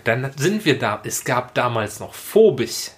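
A man's voice: medium pitch, sing-song, a little slow. Sweary, mock-dramatic man in his thirties. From Germany, Rhineland. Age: late 30s. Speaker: podcast co-host. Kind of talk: casual humorous chat, anecdotes.

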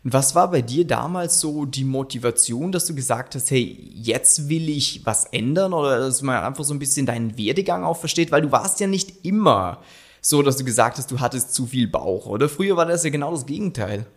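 A man's voice: mid-range voice, expressive, a little fast. A man, a fitness coach, hosting an interview and prompting his guest with many affirming murmurs. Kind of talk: podcast interview.

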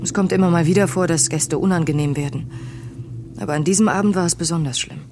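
A woman's voice: fairly low pitch, fast talker, noisy background, lightly acted. Actress as composed, weary waitress telling her story. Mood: composed, bitter. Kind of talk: audio drama narration and dialogue.

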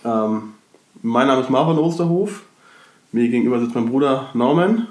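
A man co-hosting a casual podcast from his shared flat, slightly congested from a cold, rambling with many fillers and restarts. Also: mid-range voice, normal speed, reverberant. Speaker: podcast co-host. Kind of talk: casual chat.

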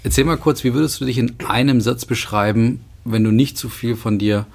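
A man's voice: mid-range voice, fairly even intonation, a little fast, creaky. A man hosting a podcast interview who asks long, rambling, friendly questions. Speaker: podcast host. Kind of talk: conversational interview.

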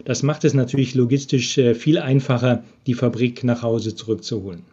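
A man's voice: medium pitch, steady tone, fairly quick, dark tone, husky, dull audio. A man being interviewed from abroad about business, answering with many hesitant filler sounds over a remote connection. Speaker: business expert interviewed from Japan.

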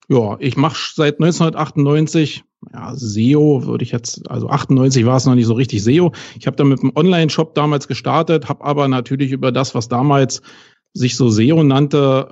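Gravelly Berlin man in his forties. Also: mid-range voice, darkish tone, muffled recording. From West Berlin, Germany. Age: 40s. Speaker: podcast guest, SEO agency owner. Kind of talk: casual podcast conversation.

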